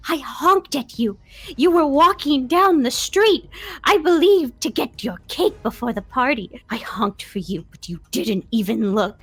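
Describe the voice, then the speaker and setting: A woman voicing a shrieking, vengeful girl ghost who taunts in a high, piercing voice. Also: lively intonation, unhurried, a bit bright, husky, no echo, heavily acted. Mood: accusing.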